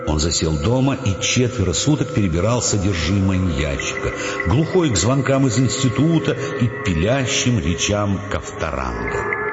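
An actor as the wry, measured narrator of a radio play, reading scripted prose. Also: male voice, deep voice. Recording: echoey hall, dull sound, music behind. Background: music.

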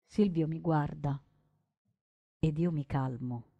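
A woman narrating a story in the first person as a stage actress, solemn and deliberate, with dramatic pauses. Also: low voice, creaky, narrow, tinny audio. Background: acoustic guitar music.

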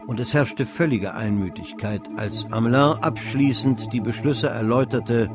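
A man's voice: mid-range voice, moderate pace, over music, close to an everyday voice. A man narrating a literary radio drama as an eloquent storyteller. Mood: measured narration. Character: eloquent storyteller chronicling a financial frenzy.

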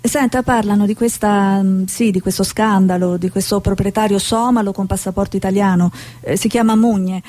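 An actress playing a determined, curious Italian TV reporter in a radio drama, probing and persistent. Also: female voice, mid-range voice, fairly even intonation, normal speed, hardly any pauses, mellow, faint music behind, lightly acted. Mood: probing, polite.

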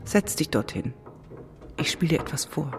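A man playing a weary, coaxing dweller of the underworld in a German radio play, measured and knowing. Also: a little high, expressive. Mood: knowing, pitying.

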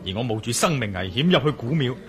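A man's voice: mid-range voice, very animated, fast talker, close to an everyday voice. A man acting as a worldly, teasing adventurer in a radio drama, with a Hong Kong Cantonese accent and an emphatic, bantering delivery. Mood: urgent, anxious. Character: worldly, teasing adventurer, urgent when crossed.

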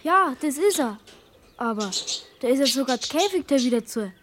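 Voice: sits high, expressive, normal speed. A performer playing an earnest, worried young boy in a 1970s German children's radio play. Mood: surprised, earnest. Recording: noisy vintage 1970s radio play recording.

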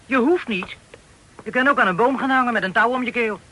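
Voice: mid-range voice, brisk, sharp tone, rough recording. An actor playing a cheeky, carefree boy who has turned pirate in a radio play, talking in a breezy, colloquial way. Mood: carefree, matter-of-fact.

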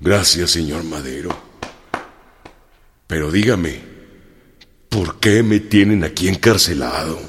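A jailed revolutionary general in radio drama, humble and plain-spoken. His extremely deep, clean-edged yet husky voice rings in a long reverb. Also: slow pace, pauses often, fairly bright tone, full character voice. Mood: respectful, puzzled. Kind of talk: historical radio drama acting. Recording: long hall reverb, music underneath.

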